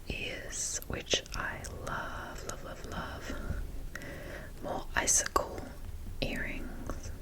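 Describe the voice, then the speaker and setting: A young adult woman whispering in a casual ASMR show-and-tell style, breathy and enthusiastic, with halting, unhurried phrasing. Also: poor audio. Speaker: ASMR video creator.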